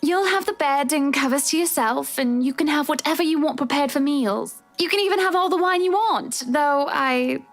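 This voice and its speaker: An actress playing a polite, hesitant wife in a visual novel, speaking in a measured, careful way. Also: female voice, sits high. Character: wife gently asking her husband for a separation. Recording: music behind the voice.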